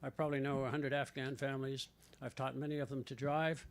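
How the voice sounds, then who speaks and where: Indignant older man, rambling and halting. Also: mid-range voice, level tone. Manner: indignant, rambling with many fillers. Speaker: retired professor at public comment. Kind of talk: unscripted public comment. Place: school board meeting room.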